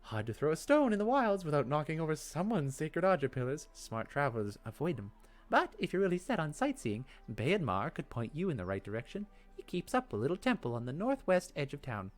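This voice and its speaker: Folksy young village woman herbalist for a fantasy game, acted by a man, voice pushed up high, friendly yet guarded, rustic speech. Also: huge pitch swings, a little fast, faint music behind. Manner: rustic, colloquial turns of phrase.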